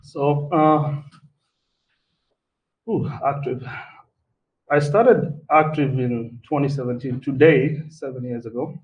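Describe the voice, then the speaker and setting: A man from Kenya, a guest entrepreneur, telling his story to a live audience in a relaxed way with fillers and repeated words. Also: mid-range voice, takes it slowly, long pauses, dull audio. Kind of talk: personal story at a live talk. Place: event hall before an audience. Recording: distant mic with faint background noise.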